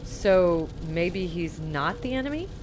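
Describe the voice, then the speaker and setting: Lively, teasing woman played by an actress. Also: on the low side, slow, noisy background. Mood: teasing, curious. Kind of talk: audio drama acting.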